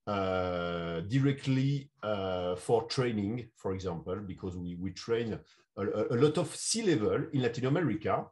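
A man's voice: lowish voice, lively intonation, darkish tone. Man answering interview questions. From France. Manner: measured, non-native English phrasing. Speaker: guest, insurance consulting CEO. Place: home room. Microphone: video call.

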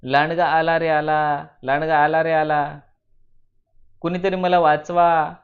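A cheeky shepherd boy from a moral tale voiced by a man, raised in pitch and loud. He stretches out his calls in a teasing, prankish way. The recording is slightly dull and lo-fi. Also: level tone. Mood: loud, pleading. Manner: loud, drawn-out shouting and teasing. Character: mischievous shepherd boy crying wolf and mocking villagers.

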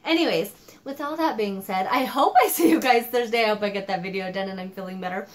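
A young woman reviewing a book in a casual vlog, animated and exasperated, slightly hoarse from a cold, filmed at her home office desk. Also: mid-range voice, fast. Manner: animated, exasperated, gestures a lot.

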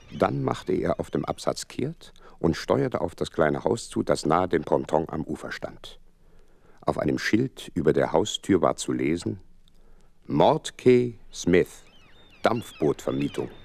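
An actor playing a loyal, earnest detective's companion who narrates a radio play, with a husky voice and expressive delivery. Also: male voice, extremely low pitch, measured pace, exaggerated voice. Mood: vivid narrating.

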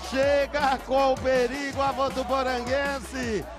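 A man calling a football match play-by-play, shouting the goals in an excited commentary style. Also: very high voice. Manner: shouting goal calls, rapid. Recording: match clip with loud crowd and music. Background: stadium crowd.

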